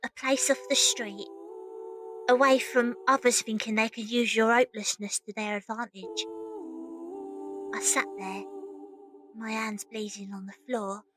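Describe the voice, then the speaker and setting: A woman's voice: fairly high pitch, animated, measured pace, long pauses, over music. An actress playing a tough, weary young Victorian match girl, acting and narrating in an audio drama. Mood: exhausted, grim.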